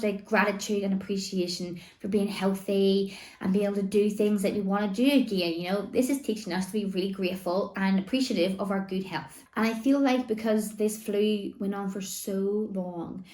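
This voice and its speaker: A woman's voice: medium pitch, a little mellow, reverberant. A woman, chatting casually to camera as a vlogger, her voice still slightly worn from laryngitis, rambling with lots of "like" and "you know". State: recovering voice after laryngitis. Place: home room.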